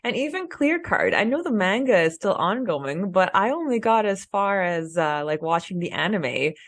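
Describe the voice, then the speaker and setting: A woman's voice: mid-range voice, sing-song, normal speed. A woman co-hosting a fan podcast, chatting casually and enthusiastically. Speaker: podcast co-host.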